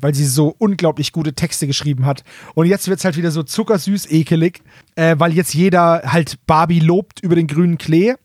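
Higher-pitched man, casual podcast co-host. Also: dry recording, studio quality. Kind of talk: casual chat.

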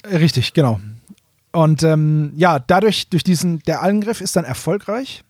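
Male podcast co-host, fairly high voice, dry sound, loose colloquial explainer who corrects himself. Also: a little slow, darkish tone, no echo. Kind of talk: casual chat retelling a story.

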